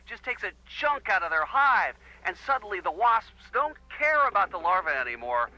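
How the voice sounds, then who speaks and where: An actor playing an upbeat, earnest college-age American boyfriend chatting on a phone call in a video game. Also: male voice, sits high, sharp tone, exaggerated voice. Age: young adult.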